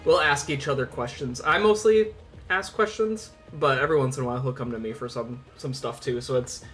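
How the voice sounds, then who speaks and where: A man's voice: medium pitch, brisk, a bit bright. Rambling young man chatting over music. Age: 20s. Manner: rambling, informal with swearing. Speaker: livestream host. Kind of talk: casual livestream chat with viewers.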